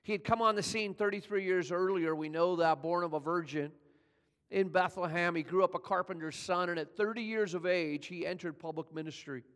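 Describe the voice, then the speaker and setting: A man's voice: fairly quick, a bit bright. Older man preaching in a reverberant hall. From California. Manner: conversational preaching, builds in pitch and volume as he tells the story. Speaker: pastor. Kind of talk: sermon.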